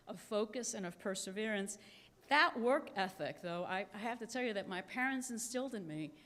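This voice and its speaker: A Cuban-born woman gives an earnest keynote speech at a conference, slowing down to lean on her key phrases. Her voice sits a little high, and the long reverb of a large hall trails after every line. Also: a bit bright. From Cuba. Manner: earnest, emphatic, slows to stress key phrases. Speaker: keynote speaker.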